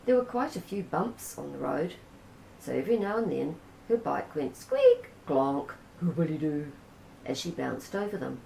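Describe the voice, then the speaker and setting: A woman with a New Zealand accent reads a children's story aloud, gentle and unhurried. Her voice sits low with a gravelly edge, and the room gives it a noticeable echo. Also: wildly swooping pitch, a little hiss or hum. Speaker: storybook reader. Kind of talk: reading a children's picture book aloud. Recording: roomy echo.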